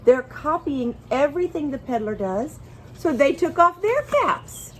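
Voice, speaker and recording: A woman reading a picture book aloud to young children in an expressive way, engaging her listeners as she goes. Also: high-pitched, a little hiss or hum. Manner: expressive, pauses to ask listeners questions. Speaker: children's storybook reader.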